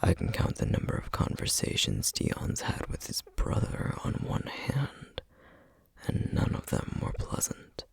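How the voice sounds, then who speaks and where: A man playing a solemn, guarded, protective flame demon in a whispered ASMR roleplay, speaking formally and hesitantly with a breathy, gravelly voice. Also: no echo, close to an everyday voice. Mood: somber, guarded. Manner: slow, measured, formal, hesitant.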